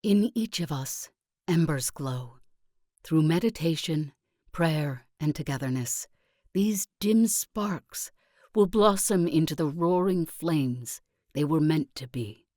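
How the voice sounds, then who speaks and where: A woman playing a serene, earnest spiritual self-improvement leader in a strategy game, speaking in a solemn, measured, uplifting way. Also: low-pitched, animated, a little mellow, dry recording, studio quality, full character voice. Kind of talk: scripted game voice lines, motivational speech.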